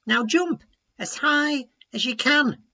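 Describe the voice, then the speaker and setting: A man's voice: very high-pitched, takes it slowly, bright, forceful tone, lo-fi sound, voice pushed up, full character voice. A man voicing a determined little frog, urgent and encouraging, in a children's story. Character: determined, quick-thinking little frog, urging friend on.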